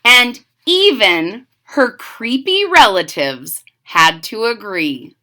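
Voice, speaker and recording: A woman's voice: on the high side, big pitch swings, slow, pushed and bright. A woman reading a children's story aloud expressively as host, with chatty asides and questions to young listeners. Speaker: storybook reader and channel host.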